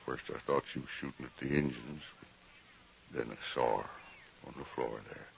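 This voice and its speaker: Gravelly, very deep, broken homesteader. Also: male voice, exaggerated voice. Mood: grieving, haunted. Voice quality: gravelly, very low. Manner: slow, plain-spoken, numb, rustic. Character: gaunt, broken, resigned frontier homesteader, bereaved husband. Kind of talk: 1950s radio western acting. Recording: noisy old radio drama recording.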